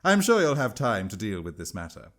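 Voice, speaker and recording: A man's voice: on the low side, wildly swooping pitch, fairly quick, fairly bright tone. A man voicing a smug, chattering imperial minister in a storybook, breezy and dismissive.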